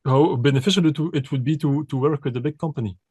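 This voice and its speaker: Belgian composer guy, keeps restarting sentences, dark soft voice. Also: fairly high pitch, quick pace, slightly rough, dry recording, clean studio sound. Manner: hesitant, many repeated words and restarts. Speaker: composer and pianist, interview guest. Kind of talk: casual interview answers.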